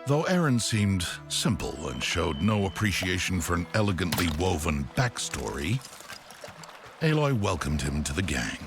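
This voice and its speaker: An actor playing a wordy, pompous storybook narrator in a video game, wryly disapproving. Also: male voice, low-pitched, very animated, normal speed. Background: music.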